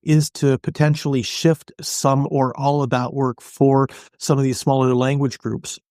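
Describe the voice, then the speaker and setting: A man giving explanatory interview answers as an expert podcast guest, articulate, measured and businesslike. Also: medium pitch, little pitch movement, darkish tone, no echo, clean studio sound. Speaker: podcast guest, industry analyst.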